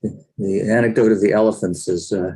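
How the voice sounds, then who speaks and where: A man's voice: fairly low pitch, fairly even intonation, brisk, warm tone, slightly rough, rough recording. A man moderating an online talk, responding briefly and appreciatively.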